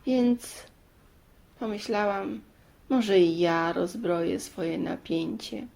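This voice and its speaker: A woman's voice: medium pitch, slow, gravelly, darkish tone. A woman playing a sturdy village mother in a children's tale, patient, loving and firm, explaining earnestly. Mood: gentle explaining.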